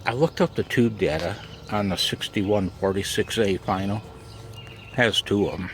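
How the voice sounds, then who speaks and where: Low gravelly guy rambling casually, kinda self-deprecating, outside with some background noise. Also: moderate pace. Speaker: amateur radio vlogger. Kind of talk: casual chat. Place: outdoors in a backyard garden and deck.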